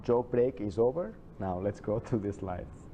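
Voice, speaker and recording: A man giving an informal classroom lecture, chatty and digressive, with many halting restarts and asides. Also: lowish voice, expressive, dark tone, slight background noise. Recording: dull lo-fi classroom recording.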